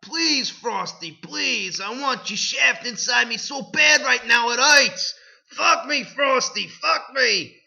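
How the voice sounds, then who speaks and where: A man putting on a frantic young female elf, pleading and shouting in a shrill, melodramatic falsetto-like voice. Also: slow pace, creaky, full character voice. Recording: dull lo-fi recording.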